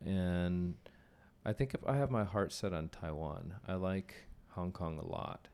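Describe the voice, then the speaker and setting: A young man with a very deep, low voice. He talks in a relaxed, wandering way, thinking aloud as he chats on a podcast and letting sentences trail off. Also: measured pace, clear, resonant tone. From United States. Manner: relaxed, musing, trailing off mid-thought. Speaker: podcast host. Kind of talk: casual conversation.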